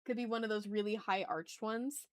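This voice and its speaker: A woman chatting casually as she hosts an art livestream, talking to her viewers in an animated, slangy, teasing way with some swearing. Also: medium pitch, normal speed, smooth. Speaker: livestream host and artist. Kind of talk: casual art livestream chat, reading and answering chat messages.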